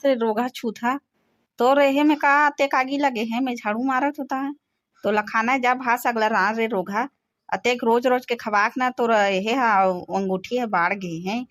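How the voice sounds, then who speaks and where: A woman's voice: fairly high pitch, unhurried, dry recording, studio quality, close to an everyday voice. Nagging Chhattisgarhi village mother played by a woman. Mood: scolding. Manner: scolding, complaining.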